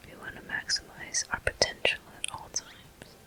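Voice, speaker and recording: A person whispering softly and breathily into a close microphone, narrating gameplay step by step in an ASMR style. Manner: soft, unhurried, gently narrating each step. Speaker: gaming video host. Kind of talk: ASMR whispered game commentary.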